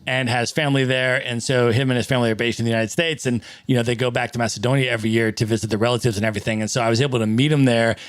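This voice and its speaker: A man's voice: medium pitch, fairly even intonation, racing, hardly any pauses, dry recording. Enthusiastic male podcast host, dry sound. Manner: enthusiastic, repeats "that's amazing". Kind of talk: interview podcast.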